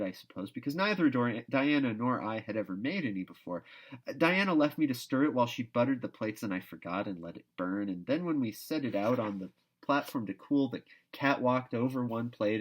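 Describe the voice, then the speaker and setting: A man's voice: medium pitch, close to an everyday voice. A man voicing an exuberant, dreamy young girl who chatters effusively and grandly, in a children's novel read aloud.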